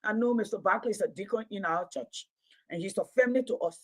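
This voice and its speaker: A woman's voice: mid-range voice, quick pace, breathy edge. Impassioned woman in her fifties. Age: 50s. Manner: preaching, repetitive rhetorical build-ups. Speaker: commentator and Pan-Africanism teacher. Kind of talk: impassioned livestream commentary.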